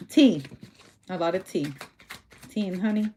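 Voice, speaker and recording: A woman's voice: on the low side, slow pace, mellow, a little hiss or hum. A woman doing a casual tarot reading who is losing her voice, talking bluntly and swearing freely. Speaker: tarot reader.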